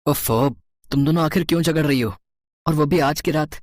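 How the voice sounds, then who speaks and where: A man's voice: on the high side, normal speed, darkish tone, dry recording, clean studio sound, lightly acted. A man voicing an affectionate, hapless young husband in a cartoon story, with a mild, coaxing manner. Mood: exasperated. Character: soft-spoken young brother-in-law, affectionate, hapless husband.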